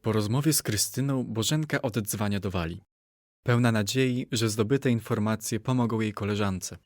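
Low-voiced man narrating a story. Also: moderate pace, background noise.

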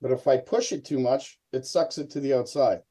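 Middle aged guy showing his experiment on a video call, mellow dark voice. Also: medium pitch, brisk, slightly rough. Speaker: hobby experimenter, guest presenter. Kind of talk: casual live demonstration on a video call. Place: indoor room, video call.

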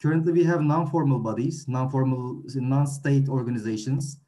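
A man's voice: medium pitch, moderate pace, mellow. A young man with a Turkish accent asking a long, hesitant question on a video call, searching for terms as he goes. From Turkey. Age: young adult. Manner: hesitant, many fillers and restarts while searching for terms. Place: room with a whiteboard.

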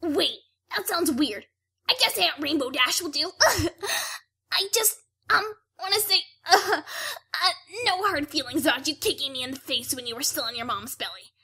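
Casting: woman to play brash young pegasus mare for animated radio play, high, piercingly bright, blunt and cocky. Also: unhurried, heavily acted. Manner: boastful, blunt, exasperated.

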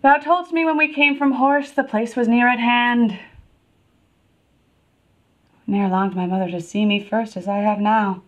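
A woman's voice: fairly high pitch, fairly even intonation, measured pace, pauses often, natural voice. A woman playing a passionate, wronged young princess in a classical Shakespearean dramatic monologue, intense and emotional. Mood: anxious.

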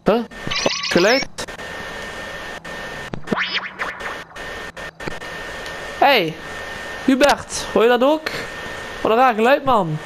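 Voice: medium pitch, animated, measured pace, natural voice. A player voicing a friendly, casual local townsman in an improvised game roleplay, explaining things hesitantly. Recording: clearly noisy game voice recording. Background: game ambience and vehicle sounds.

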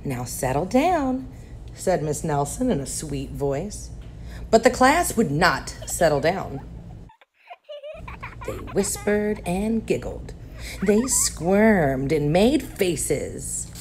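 A woman reads a children's picture book aloud, slow and unhurried. Her voice sits a little low but rises and falls in a lively, animated way. A faint hiss sits under her voice. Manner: expressive, animated storytelling. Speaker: storybook reader.

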